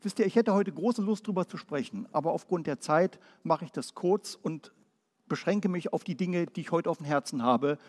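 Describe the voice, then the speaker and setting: A man from Berlin preaching a Pentecostal sermon, firing off rhetorical questions and then dropping quiet and low. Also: normal speed, long reverb tail. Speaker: pastor.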